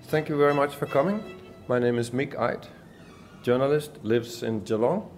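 A man's voice: mid-range voice, normal speed, loud music behind the voice. A man with a Danish accent giving an earnest conference speech as a journalist, often restarting and repeating words. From Denmark. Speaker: journalist giving a summit talk.

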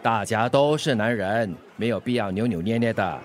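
A man with a Singaporean accent playing a nosy, jovial, worldly-wise uncle in a radio drama, teasing with drawn-out exclamations. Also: on the low side, natural voice. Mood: blunt, teasing.

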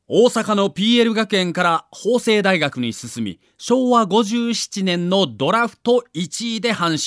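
A man's voice: high-pitched, a bit bright, no room sound, studio quality. A man narrating a scripted documentary as a story, with dramatic emphasis.

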